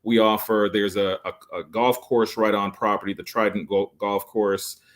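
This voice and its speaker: Man, late 40s, lowish voice, podcast guest selling resorts, eager with lots of ums. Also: little pitch movement, normal speed. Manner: enthusiastic salesy pitch with many fillers. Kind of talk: podcast interview.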